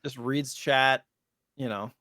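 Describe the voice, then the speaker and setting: A man's voice: mid-range voice, fairly even intonation, slow, pushed and bright, no room sound, clean studio sound. A man co-hosting a podcast in casual chat, telling rambling, joking stories. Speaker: podcast co-host and streamer.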